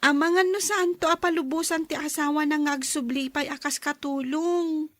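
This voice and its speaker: A woman's voice: sits high, fairly even intonation, normal speed, a bit bright, no echo. A woman playing a young, curious, helpful daughter in an audio drama, with a chatty, gossipy manner.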